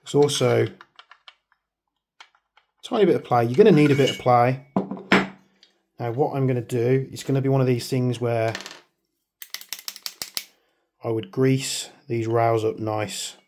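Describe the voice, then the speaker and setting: A man's voice: medium pitch, unhurried, pauses often, darkish tone, a little hiss or hum. A man rambling chattily through a hands-on product review, joking about his own waffling. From United Kingdom. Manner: rambling, chatty, self-deprecating. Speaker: airsoft video maker.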